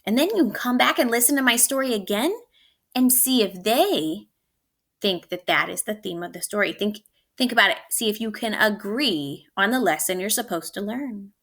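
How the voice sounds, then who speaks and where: A woman teacher reading a storybook and giving a lesson to children, expressive and speaking straight to them. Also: medium pitch, moderate pace. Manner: expressive, addressing children directly.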